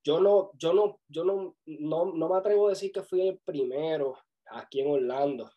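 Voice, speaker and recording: A man in his twenties with a Puerto Rican accent, chatting casually as a podcast guest and telling his story in a halting, self-correcting way. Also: fairly high pitch, highs cut off. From Puerto Rico. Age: 20s. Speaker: podcast guest, music videographer. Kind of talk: casual interview chat.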